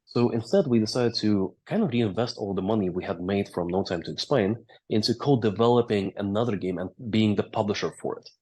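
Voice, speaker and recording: A man's voice: lowish voice, a little mellow, slightly rough. A man in his thirties from Latvia with a Latvian accent, telling his story as a guest in a casual interview, fluent with frequent "uh" and "right?". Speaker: company founder, interview guest.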